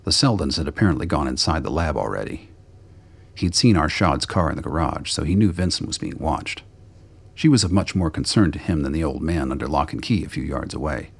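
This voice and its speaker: Very deep, gravelly male narrator. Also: lively intonation, brisk. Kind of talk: scripted radio play narration.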